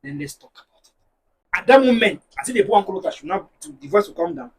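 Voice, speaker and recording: A man with a Nigerian accent, a married guest on a discussion show, talking in an animated, preachy way and telling stories to make his points. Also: sits high, fast talker, gravelly, distant mic, a little hiss or hum. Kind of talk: casual panel discussion.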